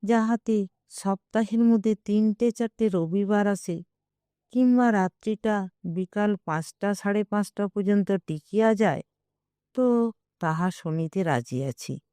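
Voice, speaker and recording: Woman reader as young husband, affectionate, audio story dialogue, slow, mellow, measured. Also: medium pitch, smooth, dry recording, clean studio sound, natural voice. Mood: playful, fond. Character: young husband, affectionate, playful then troubled. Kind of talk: audio story character reading.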